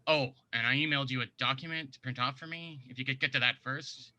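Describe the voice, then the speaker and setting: A man's voice: mid-range voice, fairly even intonation, fast, bright, forceful tone, no echo. A man playing a self-absorbed, oblivious office boss in a screenplay table read, handing out requests in an offhand way. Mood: oblivious, entitled.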